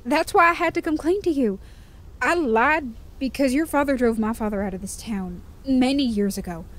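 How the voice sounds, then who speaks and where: A woman's voice: high-pitched, normal speed, natural voice. A woman playing a Wild West con artist with an earnest, dramatic delivery in an audio drama. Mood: remorseful. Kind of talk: western audio drama acting.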